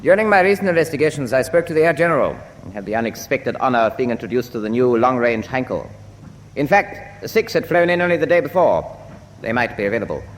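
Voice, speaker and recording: In a reverberant space with faint noise, a man plays a suave German meddler in a war drama. He speaks quickly, with formal, falsely deferential politeness that insinuates more than it says. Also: medium pitch, animated, close to an everyday voice. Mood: smoothly helpful. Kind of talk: full-cast radio drama acting.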